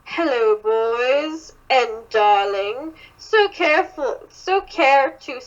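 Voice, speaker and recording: Woman putting on a cocky British demon king, high-pitched. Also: expressive, takes it slowly. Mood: mocking, drawling. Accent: put-on British. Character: cocky mocking British man, king of hell. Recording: dull, lo-fi sound.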